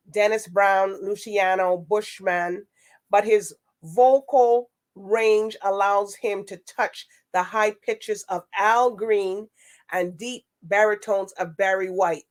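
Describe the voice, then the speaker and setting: A woman's voice: mid-range voice, takes it slowly. Chatty woman hosting a talk show. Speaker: show host.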